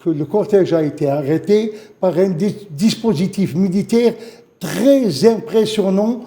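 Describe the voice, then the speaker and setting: Older algerian guy, high pitched but super dark tone, telling his memories haltingly on a news show. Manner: halting, repeats phrases, emotional storytelling. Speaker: witness guest. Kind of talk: spoken testimony in a studio interview.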